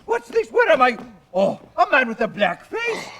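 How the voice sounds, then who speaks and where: An actor playing a witty, swaggering poet who pretends to be a raving space traveler, talking in a flamboyant, theatrical, grandly rambling way. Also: male voice, very high voice, wildly swooping pitch, normal speed, fairly bright tone, full character voice. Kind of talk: stage-style period drama acting.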